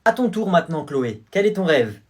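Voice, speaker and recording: A man's voice: fairly high pitch, sing-song, moderate pace. A man voicing a prim woman schoolteacher who asks her pupils questions in a sing-song classroom tone. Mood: questioning.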